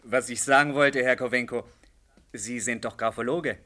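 A man's voice: mid-range voice, fairly even intonation, moderate pace, bright, forceful tone, lightly acted. An actor playing a restless, irritable young male student in a German radio play, brusque and moody. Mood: bored, dismissive.

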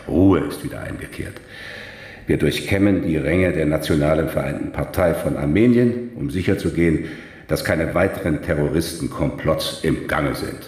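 An actor in a German radio drama playing a stern, condescending KGB deputy director with a measured, officious manner. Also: male voice, extremely low pitch, darkish tone, reverberant. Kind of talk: German audio drama acting.

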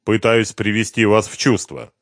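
A man voicing a calm, commanding detective who questions a suspect in an audiobook, level and firm. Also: fairly low pitch, moderate pace, gravelly, no echo, clean studio sound. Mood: steady, commanding. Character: calm, relentless male detective interrogating a suspect. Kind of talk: audiobook detective novel dialogue.

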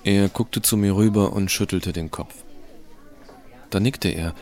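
A man playing a geeky, cheeky teenage boy who tells his story in colloquial, expressive first-person audio drama narration. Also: lowish voice, unhurried, lightly acted. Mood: wry, animated.

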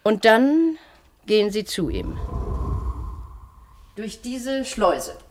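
An actress playing a strict, cold matron in a German radio play, giving orders in a brisk, condescending, authoritative manner. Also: female voice, mid-range voice, huge pitch swings, a little hiss or hum, exaggerated voice. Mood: brisk, instructing. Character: strict, cold institutional matron with a callous streak. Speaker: head matron instructing a new attendant.

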